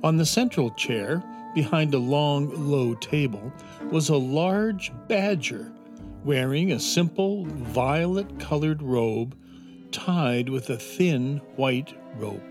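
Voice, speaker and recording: A man narrating a children's fantasy audio drama in a measured, descriptive storytelling manner. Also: on the high side, expressive, music in the background.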